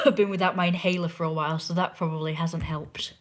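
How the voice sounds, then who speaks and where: A young woman with an English accent, hoarse from a sore throat, chatting casually and opinionatedly to a viewer from a chair at home, often dropping into hushed asides. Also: lowish voice, fairly even intonation, fairly quick. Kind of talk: casual rambling chat. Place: indoors at home, curled in a chair.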